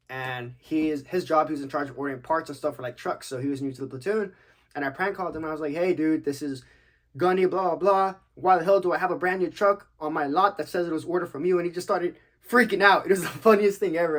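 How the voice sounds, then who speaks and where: A young man from Texas hosting a podcast, answering questions in a laid-back, slangy, joking way with casual swearing. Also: on the high side. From United States, Texas. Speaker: podcast host, active-duty military.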